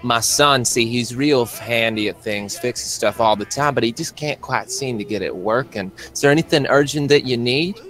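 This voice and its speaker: A man playing a folksy Southern waitress mom, low-voiced. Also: normal speed, few pauses, a bit bright, close to an everyday voice. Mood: folksy concern. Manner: folksy, gushing endearments. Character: kindly, uncanny Southern waitress mother, doting and folksy.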